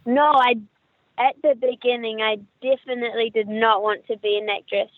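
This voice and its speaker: A young actress with a New Zealand accent talks in a friendly, chatty way, fairly high but with little rise and fall. Her voice comes through a thin, phone-like call line with a dry sound. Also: fairly even intonation, normal speed, no room sound. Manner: friendly, chatty, with restarts and laughs. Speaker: actress, interview guest. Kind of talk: casual interview chat. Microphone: remote call. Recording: tinny, dry call audio.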